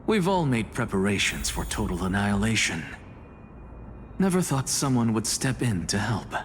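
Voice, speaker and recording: A man's voice: fairly low pitch, big pitch swings, normal speed. An actor playing a harsh, haughty male scholar with a scornful, imperious manner, in an anime-style video game. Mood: resolute. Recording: long hall reverb, music behind. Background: game music.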